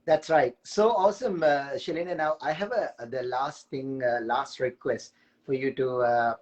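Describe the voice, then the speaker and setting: Halting, jokey male chat host. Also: medium pitch, highs cut off. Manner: halting, many "uh" fillers, jokey. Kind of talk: casual live video chat.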